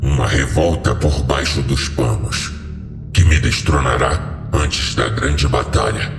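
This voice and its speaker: A man voicing an ancient fallen angel, solemn and grandiose, in a slow audio horror story delivery with cavernous reverb. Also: creaky, heavily acted. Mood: grave, conspiratorial. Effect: cavernous echo and reverb on a lowered voice. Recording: echoing hall reverb over music.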